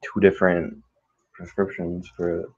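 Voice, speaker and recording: A man's voice: low voice, monotone, measured pace, darkish tone. A young man chatting casually as a player, rambling and unsure, full of "like". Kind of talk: casual chat. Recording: dull lo-fi sound.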